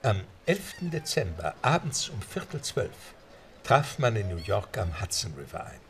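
A man's voice: on the low side, sing-song, normal speed, slight background noise. A man narrating a scripted audio drama as storyteller.

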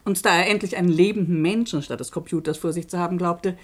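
An actress playing a narrator in a radio play, explaining a case in a composed, wry manner. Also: female voice, lowish voice, quick pace, a little hiss or hum, close to an everyday voice. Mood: wry, explanatory. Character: wry female narrator presenting a case to listeners.

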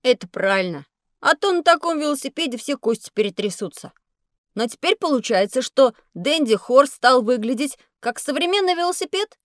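A curious, cheeky schoolboy in a children's educational audio drama. The voice is high and very animated, recorded close and dry. He sounds eager and a bit boastful, with lively boyish delivery. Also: normal speed, no room sound.

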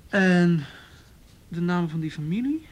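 Patient police detective, higher-pitched male voice. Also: expressive, full character voice. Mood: businesslike, patient. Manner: measured, hesitant, procedural questioning. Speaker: actor in a radio play. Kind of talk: Dutch radio drama dialogue.

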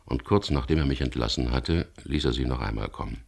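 Plain-spoken skipper, radio crime drama, very deep bass, creaky, guarded, frank. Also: male voice, a little fast, full character voice. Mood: calm, narrating. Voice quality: very deep, gravelly. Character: frank, wary male boat skipper caught up in a murder case. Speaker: actor in a radio crime drama, also narrating. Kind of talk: 1970s German radio play acting, dialogue and first-person narration.

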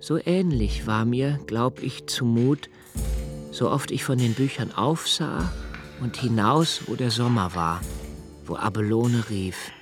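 An actor playing a brooding young male poet, narrating a radio play in a measured, solemn reading over faint music. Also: mid-range voice, expressive. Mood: reflective.

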